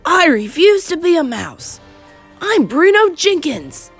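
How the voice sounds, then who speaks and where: A woman voicing a loudmouthed, greedy young boy turned mouse, blustering and petulant, in a storybook reading. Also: extremely high pitch, sing-song, takes it slowly, soft background music, pitched above the performer's own voice, exaggerated voice. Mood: petulant, alarmed.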